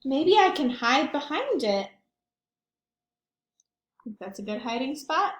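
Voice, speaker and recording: A woman's voice: sits high, sing-song, slow pace, reverberant, slight background noise. A woman reading picture books aloud to young children, expressively and with questions for her listeners. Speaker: children's read-aloud host, teacher or librarian.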